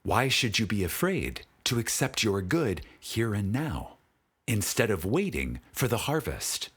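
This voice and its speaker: A man with a husky voice reads a spiritual audiobook in a solemn, deliberate manner with dramatic pauses. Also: lowish voice. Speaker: audiobook narrator. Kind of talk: scripted spiritual audiobook narration.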